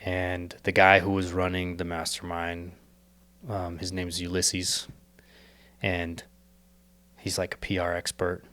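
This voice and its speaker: A young man talks earnestly in a low, smooth voice, wandering through his thoughts with fillers and restarts. His voice is a little worn, as if he is losing it. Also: monotone, measured pace, pauses often, a bit bright. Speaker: podcast guest, startup co-founder. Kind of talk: casual reflective interview talk.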